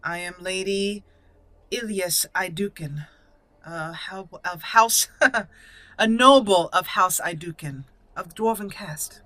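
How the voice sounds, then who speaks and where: A woman's voice: on the low side, slow pace, sharp tone, dry recording. A woman voicing a proud, formal young noblewoman mage in a roleplayed fantasy game, with a stately, haughty manner.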